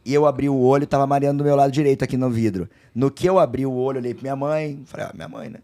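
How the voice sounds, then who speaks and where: A Brazilian man, a guest on a podcast, telling a story in casual chat, hoarse from a cold, animated and swearing freely. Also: medium pitch, rapid-fire. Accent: Brazilian Portuguese. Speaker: guest, plastic surgeon.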